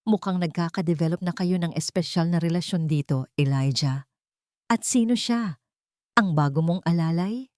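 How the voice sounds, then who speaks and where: A female narrator plays a cold young fiancée in a romance radio drama. She is jealous and possessive, sneering at her rival with menace. The voice sits a little low, recorded close and dry. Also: moderate pace, warm tone, no echo, lightly acted. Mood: sneering contempt.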